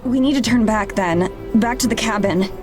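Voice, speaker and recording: A woman acting a wary, higher-pitched young skeptic. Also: moderate pace, lightly acted. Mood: alarmed. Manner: pointed, wary questioning. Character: cautious, skeptical young woman on a film crew. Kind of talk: visual novel voice acting. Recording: music behind the voice.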